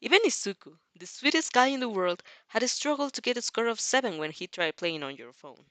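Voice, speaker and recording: A woman's voice: on the high side, expressive, a little fast, a bit bright, dry recording. A woman reading fan fiction expressively and informally, stumbling over and repeating phrases, with casual reactions of her own.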